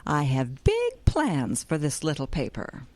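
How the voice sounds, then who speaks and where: A woman's voice: sits low, wildly swooping pitch, a little slow, darkish tone, heavily acted. A woman playing a cheerful, naive, scatterbrained older grandmother in an audio drama. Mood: earnest, defensive. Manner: airy, breezy, scatterbrained. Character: cheerful, naive hippie grandmother starting a small newspaper.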